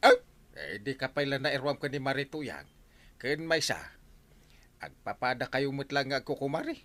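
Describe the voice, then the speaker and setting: A man's voice: medium pitch, animated, moderate pace, a bit bright, slight background noise, lightly acted. A man playing a teasing, evasive village husband in an Ilocano Filipino comedy drama, dropping in bits of English.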